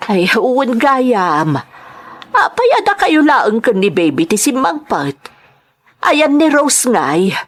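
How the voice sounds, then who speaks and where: A woman playing a doting, anxious middle-aged grandmother in an audio drama, expressive and exclamatory as she fusses. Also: on the high side, slow, exaggerated voice. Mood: fussing, questioning. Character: doting, anxious grandmother, mother of grown son. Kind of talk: Ilocano serial audio drama acting.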